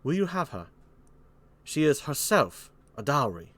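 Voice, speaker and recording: A man's voice: on the high side, sing-song, a little slow, a bit bright, heavily acted. A man playing a cool, gallant foreign king in a stage tragedy, attempting a British accent. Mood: gallant, questioning. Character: cool, gallant young king of France, questioning.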